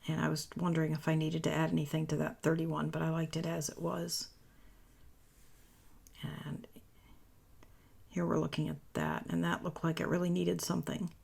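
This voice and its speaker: Raspy, gravelly woman talking casually. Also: deep voice, darkish tone. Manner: rambling, self-correcting, chatty. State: voice worn from talking all day. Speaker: papercraft tutorial host. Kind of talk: casual voice-over tutorial.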